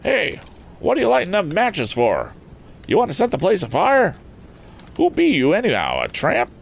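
A man voicing an excitable, suspicious country farmer with folksy, exclamatory talk in a storybook reading. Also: a little high, moderate pace, gravelly, voice pushed up, exaggerated voice. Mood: suspicious, challenging. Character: excitable rustic old farmer, tall, suspicious then eager.

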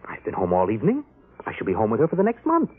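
A self-satisfied, scheming husband, breezy and theatrical, his husky voice swinging widely in pitch. It is vintage radio acting on a thin, boxy broadcast recording. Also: huge pitch swings, very fast, phone-quality sound. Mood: smug, pleased. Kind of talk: old-time radio drama acting. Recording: band-limited old radio broadcast recording.

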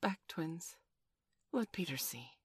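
A woman's voice: deep voice, animated, slow pace, breathy edge, dry recording, clean studio sound, exaggerated voice. A woman voicing an earnest, foolish but dignified young boy in a storybook, with a plaintive way of talking. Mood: quiet, dignified.

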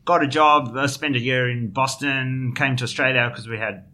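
A man with a South African accent and a bright, forceful voice, talking as an interview guest. He is middle-aged and talks in a hesitant, conversational way, full of fillers and restarts. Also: mid-range voice, fairly even intonation, normal speed. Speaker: guest, finance journalist.

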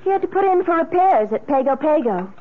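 Polished middle-aged American society wife: witty, arch, theatrical, swooping intonation, tinny vintage radio sound. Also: female voice, sits high, big pitch swings, fairly quick, slight background noise. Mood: breezy, teasing. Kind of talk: 1970s radio drama acting. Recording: narrow, phone-like old radio sound.

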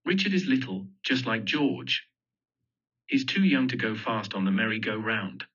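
A man's voice: lowish voice, very animated, moderate pace, pushed and bright, husky. A man reading a children's picture book aloud, telling the story simply and expressively. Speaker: storybook reader. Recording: dull lo-fi audio.

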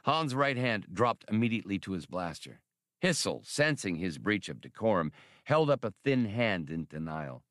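A man's voice: on the low side, big pitch swings, normal speed, fairly bright tone, no echo, studio quality. A man narrating an adventure story expressively from a script as an audiobook reader.

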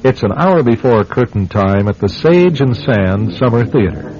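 A man's voice: mid-range voice, lively intonation, moderate pace. A man narrating and hosting a radio drama from a script, in old-time storytelling style. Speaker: host and narrator. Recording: muffled radio sound with music behind.

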